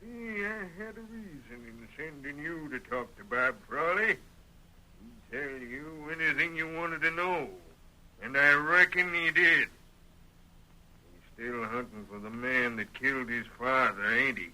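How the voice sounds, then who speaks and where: Gruff, piercing old Western rancher. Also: male voice, medium pitch, a little slow, pushed and bright, low-quality recording. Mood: sly, knowing. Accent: American Western. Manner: bossy, barking orders, folksy drawling speech. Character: crippled, domineering old frontier rancher, gruff and sly. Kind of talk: old-time radio western acting. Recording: vintage radio drama recording.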